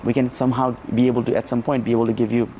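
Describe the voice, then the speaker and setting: A man's voice: medium pitch, little pitch movement, very fast, mellow, slight background noise. A man from Nepal answering interview questions as a startup founder, often repeating words and checking in with "right?".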